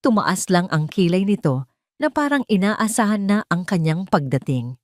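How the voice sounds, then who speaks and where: A woman with a Filipino accent narrating a scripted drama in an expressive, suspenseful storytelling way. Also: lowish voice, moderate pace, dry recording, clean studio sound.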